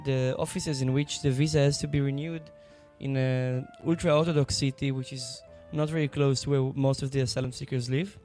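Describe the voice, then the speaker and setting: Young man, from Israel, flat delivery, soft-spoken, faint music. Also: mid-range voice, normal speed, hardly any pauses. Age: young adult. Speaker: guest, aid worker. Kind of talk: interview answers.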